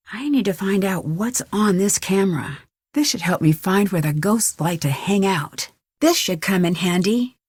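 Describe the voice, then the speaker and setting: A woman's voice: medium pitch, breathy edge, no room sound, clean studio sound. A young woman playing a curious, plucky amateur detective in an adventure video game, thinking aloud to herself. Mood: musing, curious. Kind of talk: scripted adventure game voice lines.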